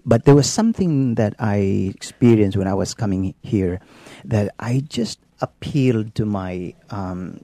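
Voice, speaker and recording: A Filipino-accented priest with a low, gravelly, mellow voice, telling his story in an unhurried, halting way full of pauses. He is recorded dry and close, with no room sound. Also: animated, no room sound. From Philippines. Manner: unhurried, halting, many ums and restarts.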